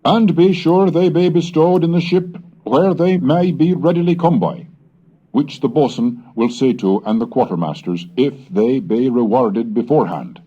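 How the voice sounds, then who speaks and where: A man reading aloud as a Puritan colonist writing to his wife, measured and earnest, on a dull old cassette recording. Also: mid-range voice, warm tone, slightly rough, natural voice.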